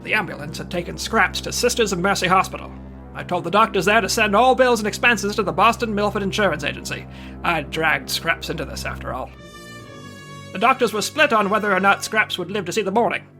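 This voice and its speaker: An actor playing a wry, dogged private investigator in the hard-boiled style of 1940s radio drama. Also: male voice, high voice, expressive, fairly quick, sharp tone, soft background music, exaggerated voice. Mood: determined. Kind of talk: 1940s radio detective acting and narration.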